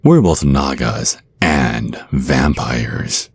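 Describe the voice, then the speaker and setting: A man's voice: rumbling low, animated, very slow, husky, no room sound, heavily acted. A man voicing a menacing, centuries-old vampire naga in an ASMR roleplay, drawling mock-sweet threats. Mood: scornful, threatening.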